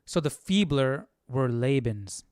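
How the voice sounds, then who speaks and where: A man hosting a casual live Bible study, reading aloud and thinking out loud in an informal, slangy way. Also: medium pitch, animated, slow, dry recording, studio quality.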